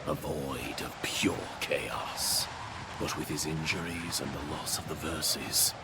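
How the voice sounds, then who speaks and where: An actor playing a proud, disdainful overlord in a video game, with a breathy gravelly voice and formal, pompous speech. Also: male voice, a bass voice, fairly even intonation, very noisy, full character voice. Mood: grave, scornful. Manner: pompous, formal, deliberate pronouncements. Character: proud, disdainful dark overlord, archaic and contemptuous. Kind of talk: dramatic video game voice acting.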